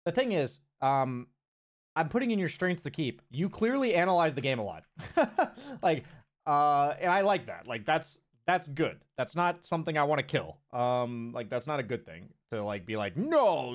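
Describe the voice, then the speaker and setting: A man's voice: fairly high pitch, big pitch swings, normal speed. A man coaching a player one-on-one in a loose, chatty way, with lots of fillers and the odd swear word. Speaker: game coach. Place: streaming from home.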